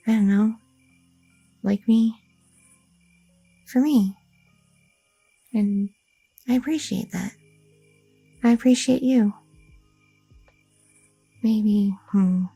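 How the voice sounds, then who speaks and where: A woman's voice: medium pitch, vocal fry, warm tone, slightly rough, heavily acted, low-quality recording. A woman playing an anxious, devoted young goth girlfriend in an ASMR audio roleplay, hesitant and rambling, often repeating herself. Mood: anxious, flustered. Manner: hesitant, stammering, self-interrupting, repeating words.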